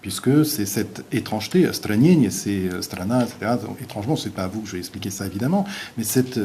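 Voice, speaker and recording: A male visiting university lecturer, a native Portuguese speaker, giving an academic lecture in a halting way with many restarts and fillers. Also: fairly low pitch, lively intonation, mellow, a little hiss or hum.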